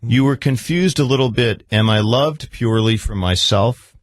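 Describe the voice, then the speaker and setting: A man's voice: mid-range voice, moderate pace, no echo. Probing American male therapist, dry close sound. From Marblehead, United States. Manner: probing, interjecting, rephrases and reflects back. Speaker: therapist conducting an on-air session. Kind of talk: probing conversational therapy interview.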